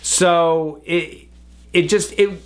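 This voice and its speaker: A man's voice: on the high side, steady tone, extremely slow. A man giving a casual, unscripted review in a chatty, enthusiastic way, often wandering into side remarks. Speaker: online reviewer.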